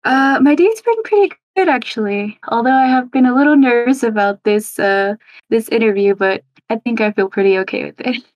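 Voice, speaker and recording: A woman's voice: on the high side, normal speed, no echo, muffled recording. Young woman guest, giggly and chatty, dry close sound with no room. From Los Angeles, United States. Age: 20s. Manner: giggly, chatty, full of "like" fillers. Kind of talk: casual interview chat.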